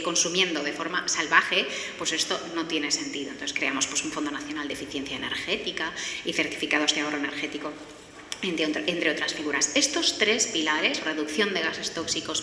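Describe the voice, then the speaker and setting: A middle-aged woman from Galicia with an accent from Spain, giving a semi-formal public talk in an explanatory, structured way with hesitant pauses. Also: on the low side, pushed and bright. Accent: Spanish from Spain. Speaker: lawyer giving a forum talk. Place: indoor forum hall. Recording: echoey hall, faint noise, roomy.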